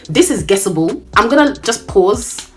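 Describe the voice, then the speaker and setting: A woman in her twenties from Nigeria, chatting casually through a Q&A on her vlog, playful and quick to joke. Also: mid-range voice, sing-song, soft background music. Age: 20s. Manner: chatty and playful, jokes and laughs often. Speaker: YouTuber.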